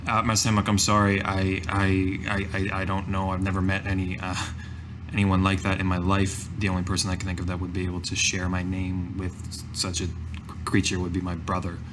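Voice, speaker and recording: A man's voice: low-pitched, monotone, fairly quick, noisy background, natural voice. A man voicing a guarded, uneasy adventurer in a tabletop game, hesitant and politely formal. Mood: defensive, apologetic. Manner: hesitant, repeating words, formal phrasing.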